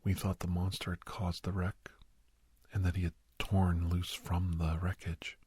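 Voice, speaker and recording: A man playing a grave doctor in an ASMR roleplay, telling a haunting memory in a hushed, halting, breathy voice that often drops to a whisper. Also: deep voice, level tone, dry recording, exaggerated voice. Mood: grave, intent. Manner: halting, hushed, dramatic delivery. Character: grave male doctor recounting a frightening memory to youngster.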